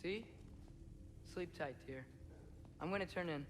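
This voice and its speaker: A man's voice: a little high, unhurried, lightly acted. A man playing an earnest, restless, hopeful teenage boy in a video game, eager yet tentative. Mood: tentative, caring. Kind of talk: dramatic video game acting.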